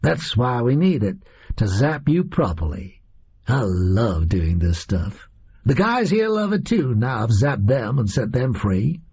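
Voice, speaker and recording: Brash schemer, performed by a male reader, breezy storybook villain, gravelly, slightly muffled recording. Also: mid-range voice, very animated, unhurried, a little mellow, heavily acted. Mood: gleeful. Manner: breezy, slangy, chatty. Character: brash American man from fiction, gleeful cocky schemer.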